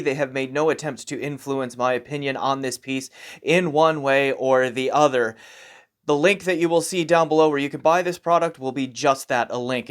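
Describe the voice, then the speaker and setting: A man's voice: medium pitch, clean tone, dry recording, clean studio sound. A man giving a casual, conversational product review as the host of an audio gear channel. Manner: conversational, informal.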